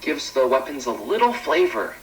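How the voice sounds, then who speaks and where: A man's voice: medium pitch, big pitch swings, moderate pace, recorded from a distance, lightly acted, low-quality recording. A man playing an eager, awkward defense technician in a space drama, nervous and stammering, a little off mic. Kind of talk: analog horror space audio drama.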